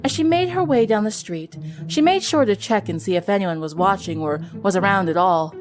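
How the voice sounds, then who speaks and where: A woman's voice: medium pitch, lively intonation, fairly quick. A woman reading a story aloud as scripted dramatic narration. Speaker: fan fiction narrator. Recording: music under the voice. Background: music.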